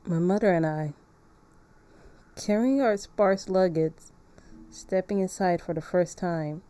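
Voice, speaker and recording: Brooding gothic painter, dark mellow voice read by a woman. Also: fairly low pitch, measured pace, close to an everyday voice. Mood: melancholy. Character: brooding, melancholy gothic painter narrating haunted memories. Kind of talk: reading visual novel narration aloud.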